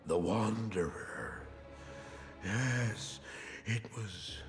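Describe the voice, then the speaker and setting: An actor playing a haunted, guilt-ridden, half-mad man in a game cinematic, halting and fearful, repeating himself. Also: lowish voice, lively intonation. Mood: haunted, weary. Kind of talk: dramatic video game cinematic monologue. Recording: loud music behind the voice. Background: cinematic music.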